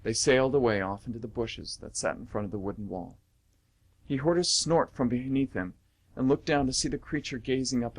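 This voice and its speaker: A man reading dramatic story narration as the narrator of an amateur radio play. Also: medium pitch.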